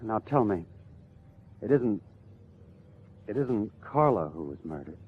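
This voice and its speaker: An actor playing a lovesick, anxious young suspect, earnest and defensive, in a 1950s radio crime drama. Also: male voice, lowish voice, big pitch swings, slow, a little hiss or hum. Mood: earnest pleading. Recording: tinny, phone-like old radio recording.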